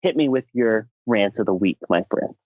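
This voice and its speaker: Animated male podcast host, swooping pitch. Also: moderate pace, no room sound, narrow, tinny audio. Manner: playful, mock-outraged showmanship. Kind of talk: casual podcast banter.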